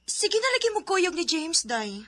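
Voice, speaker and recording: A woman's voice: very high voice, very animated, normal speed. A woman playing a chatty schoolgirl best friend in a radio drama, with a Cebuano Filipino accent. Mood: teasing.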